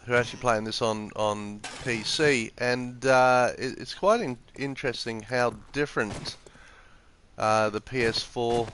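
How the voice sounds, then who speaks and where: A man's voice: mid-range voice, steady tone, a little slow, fairly bright tone, a little hiss or hum. A congested man with the flu giving casual, hesitant live game commentary on a headset microphone, explaining things as he goes. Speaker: gaming live streamer. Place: room next to an office, windows open. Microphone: PS4 gaming headset microphone.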